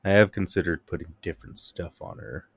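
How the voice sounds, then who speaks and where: A man's voice: low voice, animated, fairly quick, creaky, husky. A man streaming a game, thinking aloud in casual, rambling commentary. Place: live stream with game audio behind.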